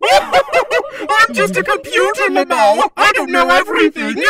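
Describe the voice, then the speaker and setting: Flippant robot queen villainess, super high and swoopy, game fandub. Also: wildly swooping pitch, unhurried, a loud crowd, heavily acted. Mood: flippant, exclaiming. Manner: theatrical, flippant. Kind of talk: video game fandub acting. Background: faint music.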